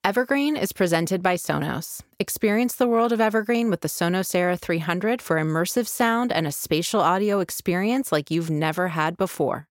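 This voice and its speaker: Dry, lowish-pitched woman announcer. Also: moderate pace, hardly any pauses, no echo. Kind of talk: scripted sponsor read.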